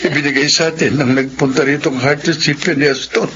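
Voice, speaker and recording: An actor playing a kind, pious older father in a radio drama, speaking calmly and earnestly. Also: fairly high pitch, quick pace, background noise, lightly acted. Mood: warm. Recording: muffled radio drama recording.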